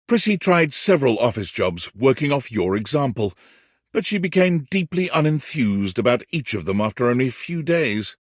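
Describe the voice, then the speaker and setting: A man playing a whimsical, wry yet tender storybook narrator in a video game, with theatrical, deliberate phrasing. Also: medium pitch, husky, dry recording, studio quality. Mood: tender, wistful. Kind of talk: scripted second-person storybook narration.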